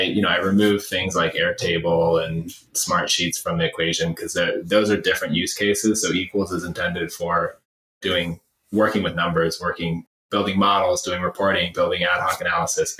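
Deep-voiced man in an echoey room. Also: flat delivery, normal speed, sharp tone. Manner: emphatic and opinionated, repeats phrases in lists. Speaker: startup CEO and former finance leader, interview guest. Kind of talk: conversational interview answers. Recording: roomy, echoey sound.

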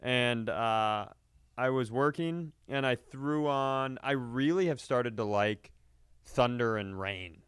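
A man's voice: mid-range voice, slow pace, a bit bright, clear, resonant tone, no room sound, studio quality. A man co-hosting a comedy podcast, telling anecdotes in a casual, rambling way with some swearing. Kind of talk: casual comedic storytelling chat.

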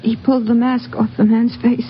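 An actress plays a dazed young small-town woman with a high, plaintive voice. She falters and breaks off but holds firm, on a muffled old radio drama recording with faint noise. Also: little pitch movement, moderate pace, lightly acted. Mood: shaken. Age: young adult. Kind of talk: 1950s radio drama acting.

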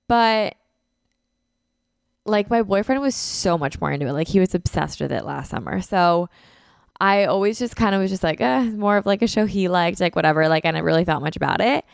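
A woman's voice: medium pitch, gravelly, smooth, no echo, clean studio sound. A woman in her twenties hosting a casual solo podcast, congested and talking in a chatty way full of "like" and "um" as she records at home on a podcast mic. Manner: chatty, lots of "like" and "um". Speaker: podcast host. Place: beach house living room, indoors.

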